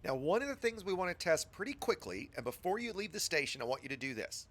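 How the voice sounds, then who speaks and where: A man's voice: high voice, sing-song, fast, fairly bright tone. A man with a scratchy voice from a cold, patiently talking a new player through game setup step by step in a casual tutorial. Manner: patient, chatty instructor addressing viewers.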